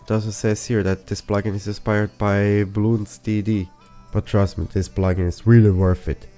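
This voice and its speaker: A man's voice: fairly low pitch, flat delivery, moderate pace, mellow, faint music behind. A young man who is a bit sick, walking through a game plugin in a rambling tutorial style with many restarts. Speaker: plugin reviewer. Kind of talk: casual tutorial walkthrough.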